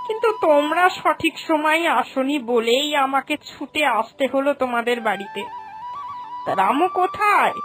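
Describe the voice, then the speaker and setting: A woman playing a haughty, cruel older landlady in a cartoon folk tale, imperious and threatening. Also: high-pitched. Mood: stern reproach. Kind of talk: Bengali animated folk-tale acting.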